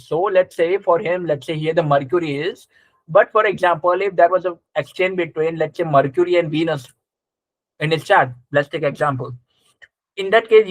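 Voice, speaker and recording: Higher-pitched man teaching a class. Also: no room sound, rough recording. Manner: conversational, checks understanding with short questions. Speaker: astrology teacher. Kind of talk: live interactive class, explaining and quizzing students.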